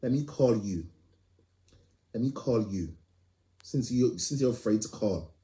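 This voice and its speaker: A man's voice: lowish voice, animated, unhurried, warm tone, roomy sound, slight background noise. A man hosting a live stream, pleading urgently and repeating himself.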